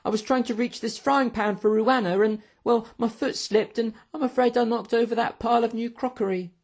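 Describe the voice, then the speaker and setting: A meek young storybook waiter, speaking in a high voice. He sounds flustered and apologetic, pleading nervously with his betters. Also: steady tone, brisk.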